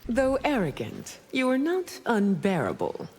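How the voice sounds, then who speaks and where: An ancient game empress, proud and composed. Her husky, expressive voice carries a regal haughtiness in long reverb. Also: fairly high pitch, a little slow, exaggerated voice. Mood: haughty. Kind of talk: video game character acting.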